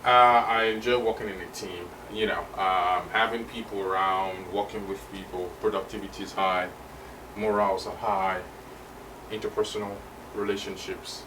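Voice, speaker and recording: A man with a Nigerian accent trading playful banter and exclamations with his wife. He sits back from the microphone, so he sounds a little distant, with steady room noise behind him. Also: low voice, moderate pace. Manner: bantering, exclamatory, laughs a lot. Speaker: vlogger's husband, guest. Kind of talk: casual chat.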